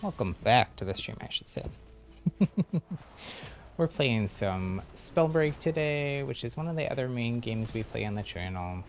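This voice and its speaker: A man's voice: medium pitch, animated, unhurried. A man livestreaming a video game with casual commentary, chatting to viewers in mild exclamations. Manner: mild exclamations, laughs often. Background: faint game music.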